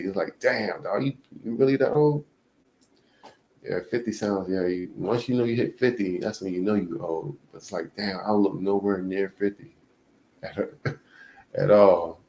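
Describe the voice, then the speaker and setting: Man, early 40s, low voice; loose, profane, rambling vlog delivery. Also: dark tone. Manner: rambling, frank, profane, many fillers. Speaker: vlogger. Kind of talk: casual monologue.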